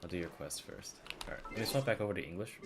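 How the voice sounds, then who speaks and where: A man's voice: low voice, fast, background noise. A man chatting casually as he streams a video game. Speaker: live streamer. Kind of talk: casual gaming stream chat. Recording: game music behind voice. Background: video game music and dialogue.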